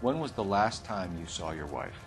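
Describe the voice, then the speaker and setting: An American actor playing a stern, driven homicide detective in a video game, questioning in a clipped, formal, probing way. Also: male voice, sits low, big pitch swings, moderate pace, music in the background. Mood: measured, probing. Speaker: police detective. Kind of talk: video game dramatic acting, interrogation.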